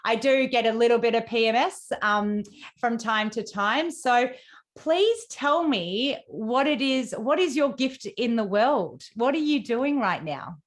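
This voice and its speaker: An Australian woman hosts a casual interview podcast in a fairly high voice. She talks effusively and rambles, circling back with restarts. Her tone is chatty and conversational. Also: lively intonation, measured pace. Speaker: podcast host.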